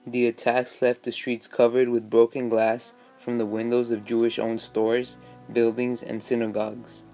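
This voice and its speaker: A man narrates from a documentary script. His voice is middling in pitch and stays very even, with little melody. Faint music plays behind him. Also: normal speed.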